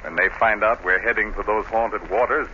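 An actor playing a wary, deferential, superstitious ship's captain in 1930s radio drama style. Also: male voice, lowish voice, steady tone, moderate pace, bright, forceful tone. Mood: uneasy, warning. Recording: dull, noisy 1930s radio recording.

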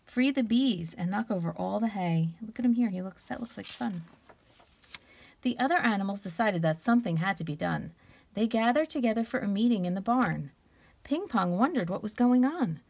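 Animated woman reading a storybook aloud. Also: mid-range voice, gravelly. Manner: expressive, stretches key words, pauses to ask questions.

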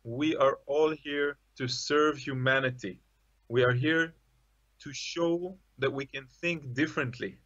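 A man speaks earnestly over a video call with earphones. The audio is a little dull and lo-fi, and his pitch sits in the middle range. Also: steady tone, measured pace, fairly bright tone. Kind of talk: interview.

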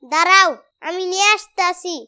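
A small, nosy nephew from a comedy cartoon, with an extremely high, piercing voice. The boy is pert and argumentative, always teasing, and speaks with a colloquial Bangladeshi accent. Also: little pitch movement, normal speed, pushed and bright, exaggerated voice. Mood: curious. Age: child character.

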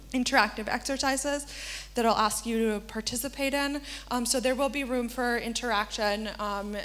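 Young woman from Argentina, handheld mic, slightly high voice, earnest workshop presenter with frequent ums. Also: fairly bright tone. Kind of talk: conference talk. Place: small stage in a darkened hall with a projection screen.

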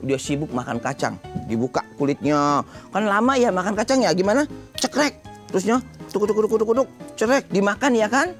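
Male children's storyteller, middle-aged, very lively swooping delivery, playful, music underneath. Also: high voice, normal speed. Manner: playful, asks the children questions. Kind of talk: animated storytelling to young children. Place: TV studio set with seated children. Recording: music behind the voice.

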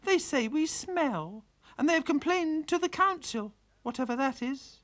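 A man putting on a wailing high pig mother. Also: animated, unhurried, a bit bright, heavily acted. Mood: distressed. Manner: wailing, pleading. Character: frightened weepy female farm pig.

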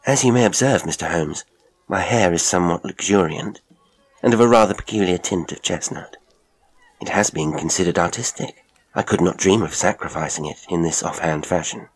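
A man voices a young governess in a classic story, prim and polite. The delivery is composed and firm, in a low, husky register. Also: moderate pace, low-quality recording. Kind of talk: audiobook character acting.

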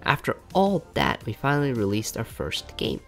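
Young male narrator for a dev log: low, gravelly voice, informal explainer delivery, faint music underneath. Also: big pitch swings, moderate pace, fairly bright tone. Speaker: software engineer and video creator narrating a dev log. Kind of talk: scripted narration.

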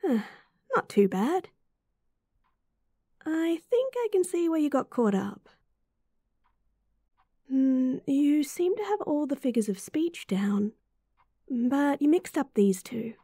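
Woman acting as a young female best friend, Australian accent, caring, teasing, slightly oblivious, soft breathy voice with some creak, relaxed, roleplay audio. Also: high voice, slow, long pauses, dry recording, studio quality, exaggerated voice. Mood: studious, teasing. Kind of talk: roleplay audio acting, intimate and softly spoken.

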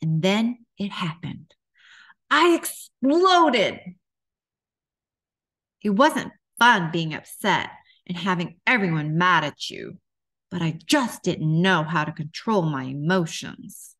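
Expressive woman reading a children's story slowly. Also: lowish voice. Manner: expressive, deliberate delivery for young children. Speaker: children's author reading aloud. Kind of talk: children's storytime read-aloud.

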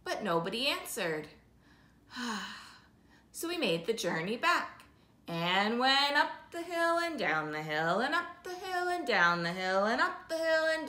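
A woman tells a children's story with big swoops in pitch and a slow, sing-song rhythm. Her voice is bright and clearly projected, with some room echo around it. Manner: slow, sing-song, repetitive rhythmic phrasing with drawn-out words. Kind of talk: animated oral storytelling for young children. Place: living room, indoors. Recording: roomy indoor echo.

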